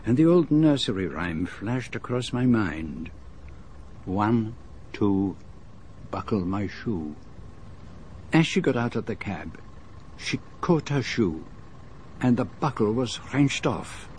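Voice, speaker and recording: Slow, fussy detective narrator. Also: male voice, fairly low pitch, animated, a little mellow, slight background noise. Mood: relieved, wry. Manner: measured, dramatic, wryly self-amused storytelling. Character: fussy, dentist-fearing male detective narrating his own story. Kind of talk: radio drama narration and dialogue.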